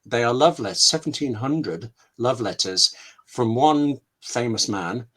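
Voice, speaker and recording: A British man speaking as a guest scriptwriter, giving conversational writing advice in an emphatic, discursive way with dramatic pauses. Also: mid-range voice, expressive, a bit bright, poor audio.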